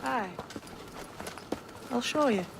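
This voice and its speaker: A blunt game assassin, speaks earnestly and colloquially for a secret cause. Noisy outdoor ambience runs behind the voice. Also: male voice, extremely high pitch, lively intonation, very slow, gravelly, full character voice. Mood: matter-of-fact persuading. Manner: blunt, colloquial, matter-of-fact. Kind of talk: video game cutscene acting.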